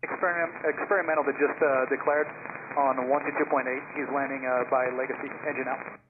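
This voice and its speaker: Aviation radio transmission, thin narrow band, noisy, male pilot, halting relay phrasing. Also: mid-range voice, level tone. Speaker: pilot relaying to air traffic control. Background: radio noise.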